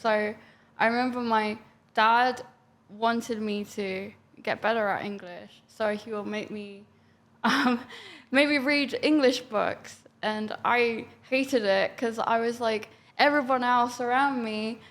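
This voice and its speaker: A woman's voice: on the high side, slow, fairly bright tone. A young woman from Japan chatting as an interview guest, moving between English and Japanese and often laughing. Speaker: guest singer. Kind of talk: casual interview chat.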